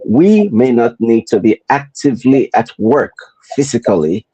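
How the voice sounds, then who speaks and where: A man from Nigeria with a Nigerian accent, hosting a motivational podcast in a deliberate, repetitive rhetorical style. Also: medium pitch, lively intonation, mellow, slightly rough, dry recording, rough recording. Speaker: podcast host.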